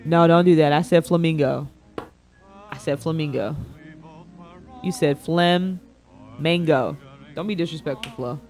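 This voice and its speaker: A man's voice: on the high side, measured pace, pauses often, darkish tone. A young man livestreaming a casual reaction, bantering playfully with chat in slangy, informal speech. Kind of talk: casual reaction stream commentary. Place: indoor room at a streaming setup. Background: faint background music.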